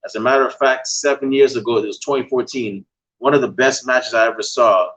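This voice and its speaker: A man's voice: mid-range voice, brisk, poor audio. A man from Guyana with a Guyanese accent, hosting a casual wrestling podcast and asking guests for their picks. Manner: relaxed, laid-back, uses y'all. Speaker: podcast host. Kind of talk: casual chat.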